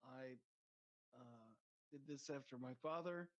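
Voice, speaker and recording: A man's voice: mid-range voice, a little slow. Crude joking man, muffled sound. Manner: joking, crude, rambling with fillers. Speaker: podcast guest. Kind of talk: casual chat. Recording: dull lo-fi sound.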